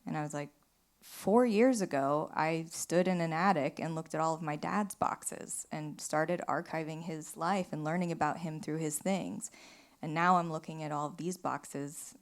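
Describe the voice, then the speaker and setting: A woman's voice: deep voice, normal speed. A woman film director speaking casually on a live panel, telling stories in a rambling, conversational way. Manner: rambling storytelling, frequent "like" and "you know". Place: small theater, live panel.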